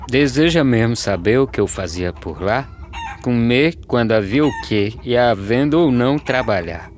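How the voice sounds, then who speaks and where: An actor with a Brazilian accent playing a weary, humble, earnest migrant farm labourer in an animated verse drama. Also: male voice, medium pitch, normal speed, natural voice. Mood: earnest, hopeful. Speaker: narrator and lead character of animated verse drama. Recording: music under the voice.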